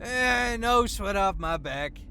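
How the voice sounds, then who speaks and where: An actor in an audio drama playing a blunt, good-natured truck driver with a folksy, wry way of talking. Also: male voice, high-pitched, animated, slow pace, vocal fry, bright, forceful tone, a little hiss or hum, full character voice. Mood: easygoing.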